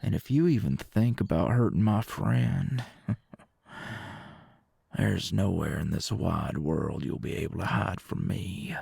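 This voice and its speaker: An actor playing a friendly, awkward, protective rancher with a Southern US accent and hesitant, stammering, folksy speech in an ASMR roleplay. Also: male voice, low voice, animated, unhurried, vocal fry, warm tone, husky, no room sound, clean studio sound, heavily acted. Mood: protective, warning.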